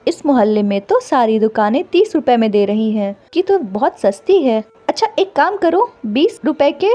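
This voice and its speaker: A woman's voice: a little high, sing-song, normal speed, dark tone, voice pushed up. A woman voicing a chatty, bargain-hunting customer in a cartoon, full of exclamation. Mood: surprised, eager. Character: surprised, bargain-hunting customer at a bangle stall. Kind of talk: Hindi cartoon character voice.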